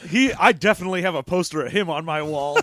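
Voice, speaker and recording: A man's voice: a little high, fast talker, fairly bright tone. A man co-hosting a podcast, trading sarcastic wisecracks in casual chat about a book. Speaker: podcast co-host.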